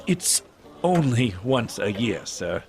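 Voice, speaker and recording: A man's voice: mid-range voice, big pitch swings, slow pace, vocal fry, exaggerated voice. A man playing a meek, nervous clerk in an audio drama, hesitant and deferential to his employer. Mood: timid, deferential. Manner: hesitant, stammering, polite.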